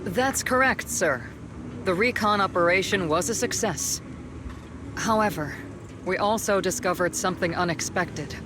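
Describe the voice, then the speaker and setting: A woman voicing a calm, authoritative female colonel in a video game, speaking in a measured, formal way. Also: fairly low pitch, background noise, natural voice. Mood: formal, businesslike. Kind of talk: scripted video game voice acting.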